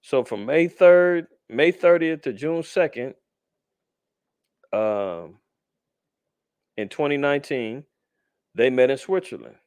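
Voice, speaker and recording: A man teaching scripture informally as a podcast host, with conversational streetwise slang and animated asides. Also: mid-range voice, slow pace, pauses often, clear, resonant tone, no echo, studio quality.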